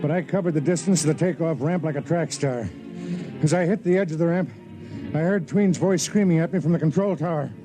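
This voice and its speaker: An American actor playing a big, aging, gruff and loyal man, narrating dramatically in a 1950s radio drama. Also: on the high side, fairly quick, over loud music, natural voice, rough recording. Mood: urgent, straining. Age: middle-aged.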